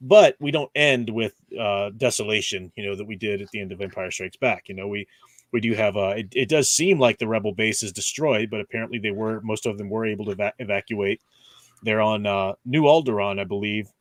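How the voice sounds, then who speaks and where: A man's voice: on the low side, brisk, no echo. An enthusiastic, chatty man co-hosting a casual discussion and recapping as he goes. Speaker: podcast co-host.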